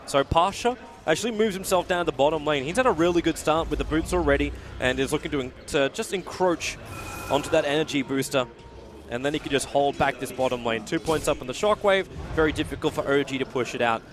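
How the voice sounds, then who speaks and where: A man with an Australian accent giving excitable play-by-play commentary on an esports match as caster. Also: fast, noisy background. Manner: excitable, rising pitch in big moments.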